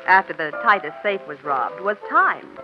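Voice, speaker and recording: Girl reporter: young, American, keen and inquisitive, very animated intonation, 1940s radio mystery, muffled broadcast sound. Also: lowish voice, normal speed. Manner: eager, inquisitive. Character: keen, quick-witted young female reporter. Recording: muffled old radio broadcast, faint music.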